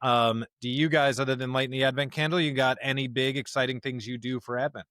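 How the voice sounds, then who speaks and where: A man's voice: mid-range voice, steady tone, fast talker, fairly bright tone, no room sound. Male American podcast host, mid-range voice, dry sound, chatty, informal pastor delivery. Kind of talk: casual podcast chat.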